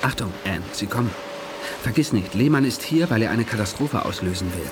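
An actor playing a tense, brave technician and secret agent with terse, urgent delivery in a radio drama. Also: male voice, lowish voice, expressive, brisk, close to an everyday voice. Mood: hushed urgency. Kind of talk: 1980s German radio drama acting. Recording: loud machinery noise behind.

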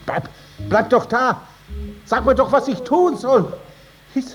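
An actor playing a desperate farmer in a 1950s German radio drama, imploring and halting as he pleads for help. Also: very high voice. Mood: despairing panic. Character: desperate, pleading male farmer losing his grip. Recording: noisy 1950s radio recording.

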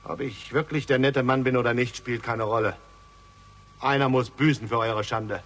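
A man's voice: mid-range voice, steady tone, normal speed, a bit bright, natural voice. An actor playing a defiant, sardonic accused man in a theatrical, oratorical 1960s German radio drama style. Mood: accusing. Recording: 1960s radio play, long hall reverb.

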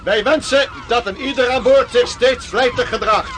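Very high, forceful ship's officer. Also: male voice, moderate pace, slight background noise. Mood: stern, official. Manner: formal, barking delivery. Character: stern, commanding ship's officer of sailing days. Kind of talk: radio drama acting.

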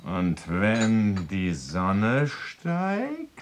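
An actor playing an obsessed, eccentric dreamer and inventor in a radio drama, theatrical, shifting between muttering and exclaiming. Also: male voice, on the low side, huge pitch swings, extremely slow, noisy background, full character voice. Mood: insistent, intense. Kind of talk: German radio drama acting.

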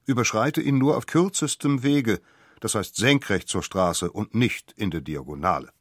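A man's voice: on the low side, animated, moderate pace, husky, no room sound, clean studio sound. A man giving a formal scripted reading of quotations in an audio documentary.

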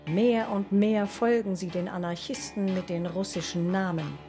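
A woman reading scripted narration in a terse, measured way. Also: medium pitch, fairly even intonation, warm tone, natural voice. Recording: clear music under the voice. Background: music.

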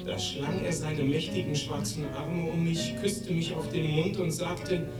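A homeless former asylum inmate , acted, delivers a deliberate radio monologue. The voice is slow and distant in a roomy space, with loud guitar music behind. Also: mid-range voice, fairly even intonation, close to an everyday voice. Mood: reflective.

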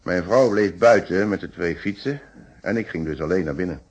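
A middle-aged man in a radio drama, speaking in a low, husky voice. He is a decent, polite witness who picks his words with care and hesitates. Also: normal speed. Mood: polite, careful. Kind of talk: Dutch radio play acting.

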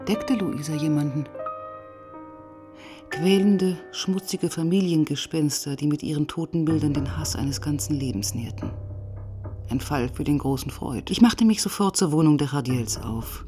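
A woman playing a composed, probing police inspector who narrates and questions in a measured, matter-of-fact way in a radio crime drama. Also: deep voice, mellow, lightly acted. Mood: reflective, narrating. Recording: studio radio drama with music.

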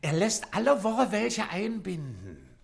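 High-pitched, servile office clerk. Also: male voice, huge pitch swings, gravelly, full character voice. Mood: hesitant, obliging. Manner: deferential, halting, formal. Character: servile, dutiful, hesitant male clerk reporting to superior. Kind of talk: German radio play acting.

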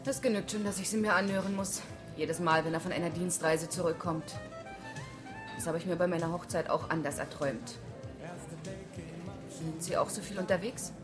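A woman's voice: fairly low pitch, steady tone, normal speed, pauses often, over music, lightly acted. An actress playing a flirtatious, bored wife who cheats on her dull husband, teasing and coquettish in a radio crime drama.

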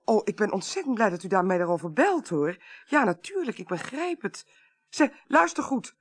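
A brisk, confident professional woman in a radio play. Her voice is husky with lively movement in pitch. She speaks directly and in a businesslike way, in a dry studio sound. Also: no echo. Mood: urgent, businesslike. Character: brisk, self-assured professional woman investigating a case.

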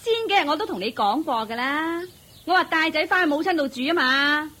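An actress playing a bitter, disdainful wife fed up with poverty, scolding in Hong Kong Cantonese in a radio drama. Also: female voice, high-pitched, expressive, brisk. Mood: bitter complaining. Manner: scolding, sharp-tongued.

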